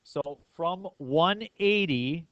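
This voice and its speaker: A man's voice: fairly high pitch, expressive, very slow, no echo, dull audio. Dry-sounding male math teacher. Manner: checks understanding with frequent questions. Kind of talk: classroom lesson, explaining step by step.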